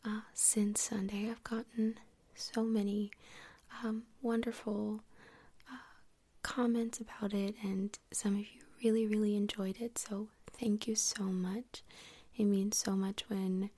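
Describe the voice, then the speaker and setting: A woman hosting soft-spoken ASMR in a whispery, breathy voice, rambling gently and unhurriedly close to the microphone. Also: medium pitch, level tone, unhurried. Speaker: host of an ASMR podcast. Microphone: close USB microphone.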